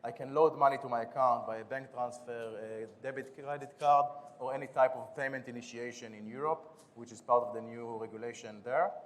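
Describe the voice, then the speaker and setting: A man from Israel with an Israeli accent, giving a quick, businesslike conference pitch and product demo as a startup founder, with some restarts. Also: fairly even intonation, slight background noise. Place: conference stage.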